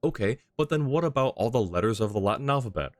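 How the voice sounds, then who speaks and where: A man's voice: medium pitch, animated, fast, a little mellow, no echo, clean studio sound. A man hosting a game livestream, thinking aloud and chatting analytically with viewers in a casual way. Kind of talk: casual live-stream commentary, chatting with viewers. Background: game music.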